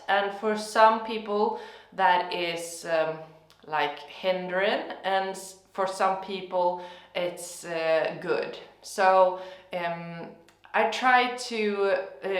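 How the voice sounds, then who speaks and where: A young woman with a Swedish accent, Swedish her first language, hosting a gardening channel in relaxed chat, thoughtful and hesitant with many fillers. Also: lowish voice. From Sweden. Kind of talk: casual talk to camera. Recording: echoey room.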